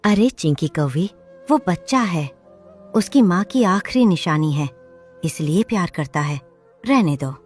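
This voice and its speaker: A woman voicing a mild, forgiving cartoon father crow with a husky voice and a placating manner. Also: lowish voice, expressive, unhurried, darkish tone, faint music behind, voice pushed down.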